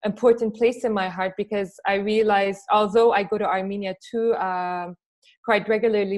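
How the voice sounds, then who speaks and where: A woman's voice: medium pitch, a little mellow. A middle-aged woman from Lebanon speaking as an interview guest, a documentary director who answers reflectively and searches for her words. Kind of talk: spoken interview answers in a film Q&A.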